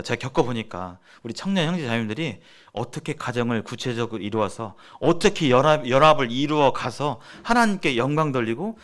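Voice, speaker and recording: A man gives sermon-like teaching at a church seminar in a middle-pitched voice. He sounds earnest and exhorting, pressing his points with emphasis. Key words come back deliberately as he explains. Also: fairly bright tone. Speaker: preacher leading a church seminar.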